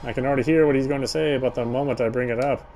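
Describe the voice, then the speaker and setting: A man's voice: medium pitch, steady tone, rapid-fire, a little mellow, faint music behind, low-quality recording. A man narrating a visual novel aloud, sometimes stumbling over a line and repeating words. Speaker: game streamer reading a visual novel aloud. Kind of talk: narration read aloud with side comments.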